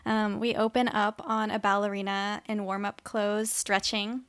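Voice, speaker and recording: A woman's voice: mid-range voice, little pitch movement, normal speed, fairly bright tone, clean tone. A woman co-hosting a podcast, speaking in a casual, conversational way as she leads the chat. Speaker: podcast co-host leading the recap. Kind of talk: casual chat and episode recap.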